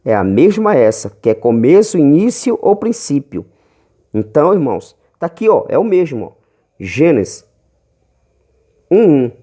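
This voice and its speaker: A man with a Brazilian accent preaching in a home Bible-teaching video, reading verses slowly and stretching key words, calling listeners brothers. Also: fairly high pitch, big pitch swings, mellow. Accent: Brazilian Portuguese. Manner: fervent, calls listeners brothers often.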